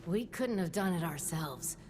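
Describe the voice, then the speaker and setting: A woman's voice: lowish voice, lively intonation, unhurried, long reverb tail, background noise. An actress voicing a grateful woman vault dweller in a video game, friendly and thankful.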